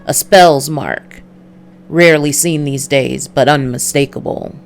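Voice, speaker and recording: Woman voicing a low, weary noir detective. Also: unhurried, faint music behind. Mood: guarded. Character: weary, hard-boiled male noir detective narrator. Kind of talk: noir visual novel narration.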